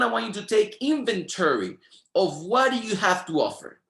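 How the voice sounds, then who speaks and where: A man's voice: high-pitched, creaky, a bit bright, roomy sound. Emphatic Spanish-accented motivational man. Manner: emphatic, repetitive, preacher-like cadence. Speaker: network marketing trainer. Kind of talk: motivational training talk.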